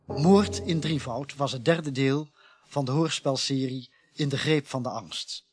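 A man's voice: medium pitch, measured pace, husky. A man reading scripted closing credits as a radio drama announcer. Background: music.

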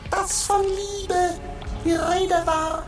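A slow, musing talking stone with a very high, steady voice. The radio play has a roomy studio sound with music running underneath. Every word comes out unhurried and deliberate. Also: female voice, heavily acted. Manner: measured, musing. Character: talking stone, new to the world, thoughtful. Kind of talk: radio drama acting.

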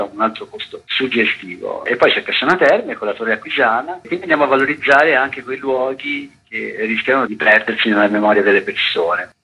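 A man's voice: fairly low pitch, slightly rough. A man giving explanatory answers as a festival co-director in a radio interview. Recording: dull lo-fi radio interview audio.

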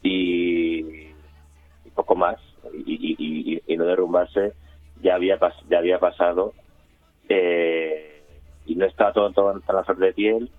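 A male radio guest with an accent from Spain talks in a deep voice over a narrow, dry phone-like connection. He is informal and hesitant, often doubling back on words. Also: steady tone, a little slow, pauses often, faint music behind. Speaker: community radio member, interviewee. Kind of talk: casual interview talk. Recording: roomy, phone-like remote line.

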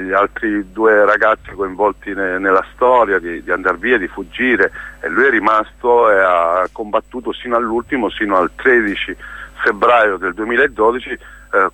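A man speaking as a theatre guest in a radio interview, telling stories haltingly and repeating words emphatically as he searches for them. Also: on the low side, bright, forceful tone, no room sound. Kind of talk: spoken radio interview, storytelling.

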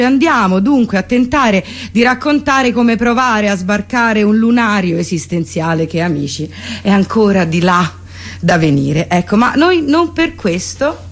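A woman performing a theatrical comic monologue in a mock-solemn, declamatory manner. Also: on the high side. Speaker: stage performer.

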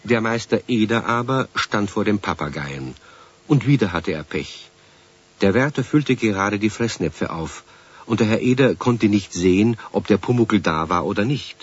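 A man acting as the calm narrator of a children's radio play, telling the story. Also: fairly low pitch, moderate pace, husky. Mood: storytelling. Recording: dull 1970s radio recording.

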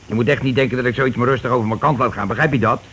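An actor playing a bossy, indignant, petty working-class husband in a Dutch radio play, with a blustering, colloquial way of talking. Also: male voice, mid-range voice, fairly even intonation, rapid-fire, fairly bright tone, lightly acted. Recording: noisy old radio play recording.

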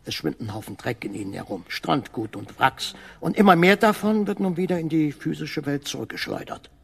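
A man's voice: a little high, huge pitch swings, creaky, breathy edge, full character voice. An actor playing a grave old alien man who gives portentous, urgent warnings in a science-fiction radio drama. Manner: slow, portentous, urgent explanations.

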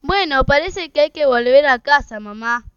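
An outspoken schoolgirl acted by a woman in a family radio play, always questioning. The voice is high, on a dry, slightly muffled recording. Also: lively intonation, moderate pace, fairly bright tone, no room sound. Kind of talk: amateur radio drama acting.

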